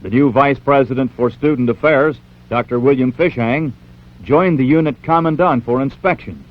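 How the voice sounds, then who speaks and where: A man's voice: medium pitch, moderate pace. Male American newsreel narrator, dull vintage sound. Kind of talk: scripted narration.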